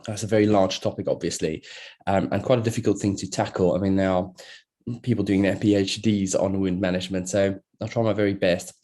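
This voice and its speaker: A middle-aged man from South Africa, a plastic surgeon giving a relaxed online lecture over a video call, with many fillers and restarts. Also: low voice, brisk. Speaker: plastic surgeon presenting a webinar. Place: at work, speaking into a computer.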